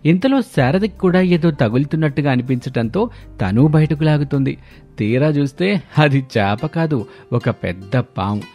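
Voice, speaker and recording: A man's voice: on the high side, expressive, normal speed, darkish tone, faint music behind. A man narrating a scripted moral story as its storyteller.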